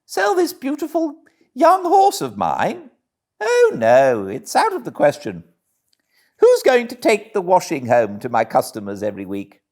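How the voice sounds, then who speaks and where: A man's voice: high voice, huge pitch swings, unhurried, darkish tone, voice pushed up, full character voice. A man voicing a boastful, cunning storybook toad posing as a washerwoman, theatrical and haughty. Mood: feigned indignation.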